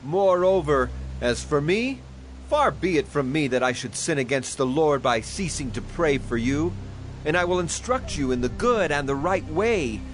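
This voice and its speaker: A man acting as an aging prophet delivers a dramatized scripture oration. His voice sits fairly high for a man, solemn and righteous, stern but caring. Clear music plays behind his declamation. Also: expressive, normal speed, close to an everyday voice, poor audio. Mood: reassuring, firm. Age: older.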